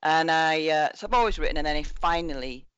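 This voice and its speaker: A woman's voice: deep voice, brisk, fairly bright tone, smooth, dry recording, muffled recording. Chatty self-mocking woman. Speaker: guest fantasy author. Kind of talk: casual podcast chat. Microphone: video call.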